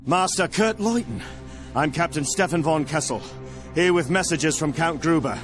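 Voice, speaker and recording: A man's voice: a little high, moderate pace, a bit bright, close to an everyday voice. A man playing an earnest, commanding captain in a fantasy war game, speaking formally and urgently in a declamatory way. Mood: formal, firm. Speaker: military captain. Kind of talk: dramatic video game voice acting. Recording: music behind the voice. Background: game score.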